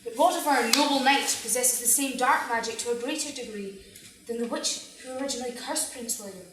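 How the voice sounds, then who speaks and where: A woman's voice: a little high, fairly quick, fairly bright tone, close to an everyday voice. Actress as skeptical young woman, echoey stage. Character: skeptical, sharp-tongued young working woman in a fairy tale. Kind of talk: amateur stage play acting. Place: theatre stage, live performance. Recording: echoey theatre stage from far off.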